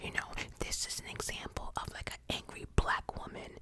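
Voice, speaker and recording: A woman telling a personal story in a steady whisper, casual and profane, with many fillers. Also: normal speed. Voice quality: whispering throughout.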